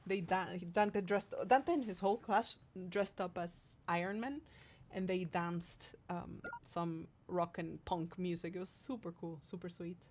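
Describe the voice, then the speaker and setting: A woman's voice: lowish voice, steady tone, a little slow, a little mellow, slight background noise. A woman hosting a livestream, reading out viewer comments and chatting casually in a playful, affectionate way. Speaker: streamer hosting a livestream, singer-songwriter.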